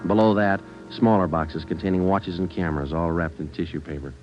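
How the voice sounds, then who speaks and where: An American man acting a terse, businesslike police detective in a deadpan, clipped old radio style. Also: sits low, a little fast, close to an everyday voice. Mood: flat, matter-of-fact. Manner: deadpan, matter-of-fact. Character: terse, businesslike male police detective narrating his case. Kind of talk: 1940s radio acting, clipped procedural narration. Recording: dull vintage radio recording with music.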